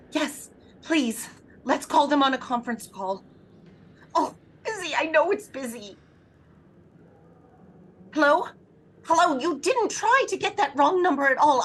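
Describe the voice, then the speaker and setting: High-pitched frantic, imperious invalid wife. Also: female voice, fairly bright tone, a little hiss or hum. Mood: indignant, demanding. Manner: agitated, demanding, melodramatic. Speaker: lead actress in an audio drama. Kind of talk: throwback radio thriller acting.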